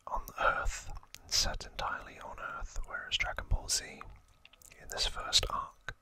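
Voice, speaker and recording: A host whispering softly and haltingly close to the microphone, explaining trivia informally in an ASMR style. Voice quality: soft unvoiced whisper.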